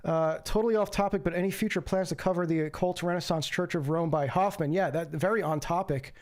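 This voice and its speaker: A man's voice: a little high, fairly quick. A man hosting a casual call-in livestream, talking loosely with many fillers and restarts into a home streaming mic. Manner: rambling, lots of fillers and restarts. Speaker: livestream host.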